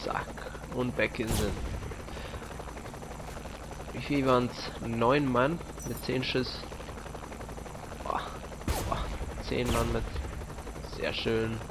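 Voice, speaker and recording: A young man giving casual, rambling and slightly halting commentary as he plays a video game on a headset. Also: mid-range voice, fairly even intonation. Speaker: let's play host. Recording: loud game gunfire and noise behind voice. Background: video game gunfire, vehicles, helicopter.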